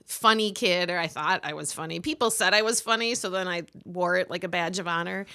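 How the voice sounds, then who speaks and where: A woman in her forties from Wisconsin, telling stories as an interview guest in a goofy, lively way with frequent laughter. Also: lowish voice, a little fast, creaky, clean tone. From Milwaukee, Wisconsin. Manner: goofy, lively storyteller who laughs often. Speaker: podcast guest, improv performer. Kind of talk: casual interview chat.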